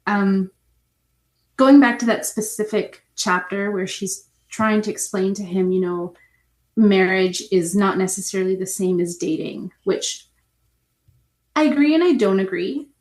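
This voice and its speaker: A woman's voice: mid-range voice, unhurried, a little mellow. A woman author answering podcast interview questions thoughtfully, with frequent restarts and hedges. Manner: thoughtful, hedging, many restarts. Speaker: author guest.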